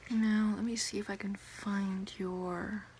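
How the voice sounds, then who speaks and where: A young woman whispering breathily in an ASMR roleplay as a doctor, slow, careful and soothing. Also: medium pitch, flat delivery, darkish tone, slight background noise. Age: young adult. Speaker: ASMR performer playing a doctor.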